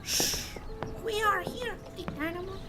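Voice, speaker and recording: Tiny high-pitched solemn boy guide. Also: fairly even intonation, unhurried. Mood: solemn, earnest. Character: small crippled boy guide Pepe, solemn and polite. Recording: loud music behind the voice.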